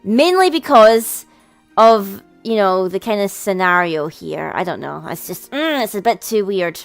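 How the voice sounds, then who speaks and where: A woman's voice: medium pitch, expressive, a little slow, creaky. A woman hosting a let's play, reading a visual novel aloud with casual commentary. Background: game music.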